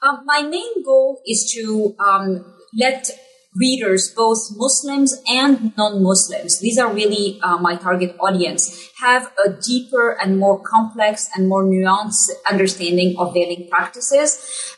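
A woman in her thirties from Egypt, an author answering interview questions in a measured way with many ums and restarts. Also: medium pitch, recorded from a distance, slight background noise, poor audio. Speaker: author guest. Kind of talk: academic podcast interview.

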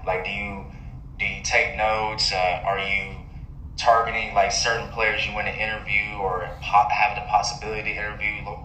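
Bright-voiced man hosting in an echoey room. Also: lowish voice. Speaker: podcast host and interviewer. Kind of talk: conversational interview with casual questions. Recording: very echoey room with faint noise.

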